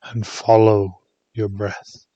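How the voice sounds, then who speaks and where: A man leading a guided meditation in a soothing, hushed manner, leaving long pauses between short phrases. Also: fairly low pitch, little pitch movement, husky, no room sound, lo-fi sound.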